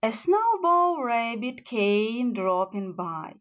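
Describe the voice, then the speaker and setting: A woman's voice: on the high side, sing-song, takes it slowly. A woman reads a children's fairy tale aloud as a storybook narrator, stumbling now and then over her words. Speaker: storybook reader. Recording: slightly dull, lo-fi sound.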